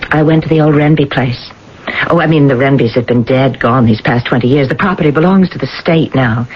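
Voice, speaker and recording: A woman's voice: extremely low pitch, brisk, breathy edge. An actress playing a troubled woman in her thirties who confides hesitantly and earnestly, in 1980s radio drama style. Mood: matter-of-fact recollection. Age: 30s. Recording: dull, hissy old radio broadcast recording.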